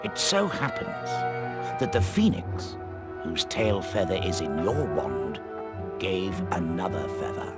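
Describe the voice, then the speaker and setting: An actor playing an eccentric, mysterious old British wizard wandmaker in a video game, speaking in courtly, musing, portentous phrases. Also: male voice, low voice, very animated, slow pace, full character voice. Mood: mysterious, ominous. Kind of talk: theatrical video game voice acting. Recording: game music behind the voice. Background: music.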